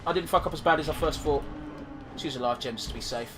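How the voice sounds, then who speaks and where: A man's voice: a little high, quick pace, music in the background. A man with a blocked nose giving casual, swear-laden commentary while playing a game, trailing off with fillers. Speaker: gaming commentator. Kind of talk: casual let's-play commentary.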